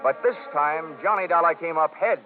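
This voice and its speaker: Actor as wry hard-boiled detective, tinny old radio. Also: male voice, fairly high pitch, normal speed, soft background music, lightly acted. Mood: brisk narrating. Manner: sardonic, wisecracking. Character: wry, hard-boiled male insurance investigator, cool and needling. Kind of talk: 1950s radio detective acting and narration. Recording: tinny, hissy 1950s radio recording.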